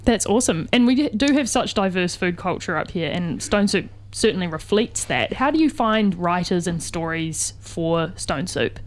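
A woman's voice: lowish voice, animated. A woman hosting a breakfast radio show, upbeat and encouraging with her guests. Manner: upbeat, encouraging, quick affirmations. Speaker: radio host.

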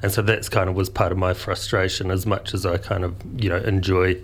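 Kiwi guy on the radio, real low voice, pretty monotone, rambles a bit. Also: fairly quick. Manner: rambling, thoughtful, many fillers and restarts. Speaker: guest, food photographer and publisher. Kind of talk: radio studio interview.

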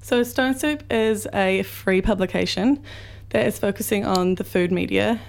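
A woman with a croaky voice, a guest on a radio show, speaking in a friendly, slightly hesitant way. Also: mid-range voice, measured pace, a little hiss or hum. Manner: friendly, a little hesitant, laughs easily. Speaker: guest, graphic designer and publisher. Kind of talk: radio studio interview.

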